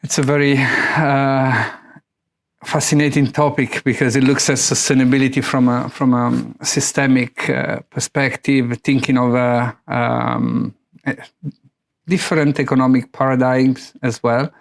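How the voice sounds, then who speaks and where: A man's voice: mid-range voice, level tone, unhurried, creaky. A middle-aged man interviewing a guest in a conversational style, searching for his words with a hesitant delivery.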